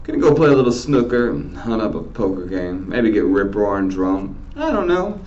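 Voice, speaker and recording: A man's voice: on the low side, very animated, moderate pace, darkish tone, muffled recording, voice pushed up. A man voicing a cocky, wisecracking teenage greaser boy from a novel, with a taunting, slangy delivery. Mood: laid-back.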